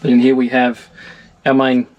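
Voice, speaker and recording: A middle-aged man giving an informal, measured technical explanation of equipment, as a technician would. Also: mid-range voice, completely flat delivery, highs cut off, a little hiss or hum. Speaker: marine electronics technician demonstrating equipment. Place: small wooden boat wheelhouse on the water.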